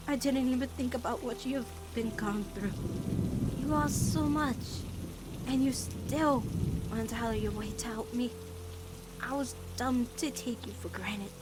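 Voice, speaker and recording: A woman voicing a gentle, remorseful teenage human girl in an animated-style comic dub, apologetic and sincere. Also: high-pitched.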